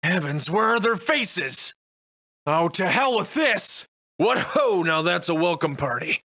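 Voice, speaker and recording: An actor playing a wry, defiant Victorian-era adventurer and monster slayer in a video game, soliloquizing in florid, old-fashioned diction. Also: male voice, sits high, big pitch swings, a little slow, sharp tone, heavily acted. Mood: alarmed. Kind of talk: theatrical video game voice lines in archaic English.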